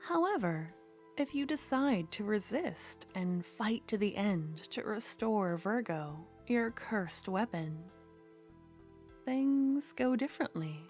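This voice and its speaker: A woman narrating a second-person ASMR story in a slow, soft, partly whispered voice. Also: mid-range voice, very animated, faint music behind.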